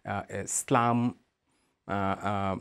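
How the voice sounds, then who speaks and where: Bangladeshi man, measured and hesitant. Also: fairly low pitch, level tone, a bit bright, clear, resonant tone. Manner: measured, hesitant, many "uh" fillers. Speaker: migrant rights advocate. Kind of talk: interview statement.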